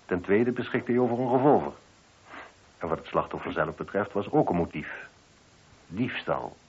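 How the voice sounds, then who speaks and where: A man's voice: deep voice, animated, normal speed, darkish tone, husky, heavily acted. A man acting a methodical police inspector in a Dutch radio play. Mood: analytical. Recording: dull, muffled old radio recording.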